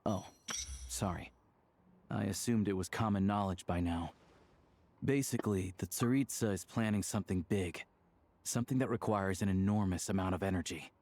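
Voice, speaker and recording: A man's voice: low voice, moderate pace, darkish tone. An actor playing a wry, world-weary townsman in a video game, with a laconic, easygoing manner. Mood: dry, resigned. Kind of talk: video game character dialogue.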